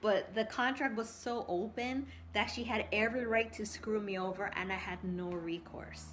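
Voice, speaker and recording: A woman speaking as a coach on a casual livestream, conversational and animated, telling personal anecdotes and giving advice while often checking for agreement. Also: medium pitch, normal speed, a little hiss or hum. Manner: animated, conversational, acts out quoted thoughts and asks for agreement. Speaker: coach hosting a live stream.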